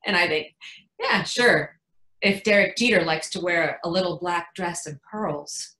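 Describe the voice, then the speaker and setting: A woman playing an anxious, protective mother in a frank, wry, confiding dramatic monologue, pausing for emphasis. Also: fairly low pitch, pushed and bright. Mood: wry, exasperated. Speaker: actor in a readers theatre performance. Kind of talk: dramatic stage monologue, conversational storytelling. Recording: echoey room sound.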